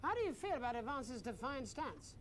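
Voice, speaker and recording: An actor playing a TV news anchor who sounds polished but keeps hesitating with "um". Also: male voice, very high-pitched, big pitch swings, a little fast, noisy background. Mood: flustered. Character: flustered male TV news anchor.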